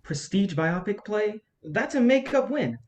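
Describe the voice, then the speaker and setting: A level-headed male assistant, played by a man in a staged reading. His voice sits a little high, and he reasons calmly and soothingly as in a stage drama. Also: measured pace. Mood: placating. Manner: calming, reasoning.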